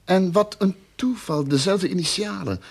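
An actor playing a sly, greedy detective in a radio drama, with a mocking, theatrical, mock-polite manner. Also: male voice, sits high, normal speed, creaky, husky, heavily acted. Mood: smug, insinuating.

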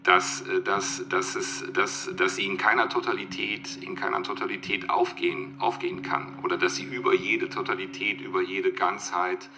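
A man's voice: deep voice, little pitch movement, moderate pace, few pauses. A man giving a reflective, unscripted explanation as a literary expert, searching for words and often repeating them. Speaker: literary expert being interviewed. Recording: music behind the voice.

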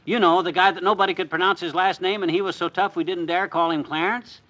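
High-pitched, excitable American radio husband. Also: male voice, fast talker. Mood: excited, boastful. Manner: folksy, blustering. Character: excitable, boastful husband, a First World War veteran. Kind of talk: 1950s radio comedy acting. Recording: muffled vintage radio recording.

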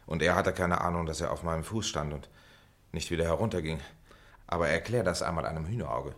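A disillusioned middle-aged man in a radio play. The voice sits very low. He is dry and brooding, with bitter sarcasm and a weariness of himself. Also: quick pace, a bit bright. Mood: bitter, sarcastic. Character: bitter, sardonic middle-aged former pupil, weary of himself. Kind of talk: German literary radio play acting.

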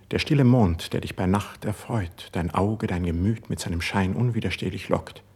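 A young poet of a classic radio drama, proud and deeply wounded. His voice sits low, with a breathy, husky grain. He speaks verse with measured, brooding intensity. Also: heavily acted. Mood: bewildered, melancholy.

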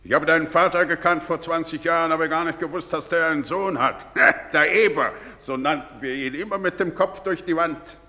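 An actor playing a jovial, lecturing doctor in a radio drama. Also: male voice, a little high, fairly even intonation, a little fast, few pauses, pushed and bright. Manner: jovial, lecturing, drops Latin phrases. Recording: long hall reverb.

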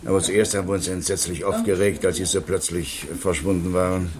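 A man's voice: low-pitched, steady tone, a little fast, a crowd in the background, natural voice. An actor playing a fatherly, somewhat naive middle-aged man with a familiar, effusive manner, in 1960s German radio drama style. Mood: friendly, pleased. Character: fatherly, admiring, somewhat naive older acquaintance.

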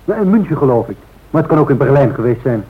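An actor playing a modest, unruffled airline pilot in a radio drama, deferential and understated. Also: male voice, mid-range voice, big pitch swings, fast talker, slightly rough. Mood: modest, matter-of-fact. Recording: thin, phone-like old radio recording.

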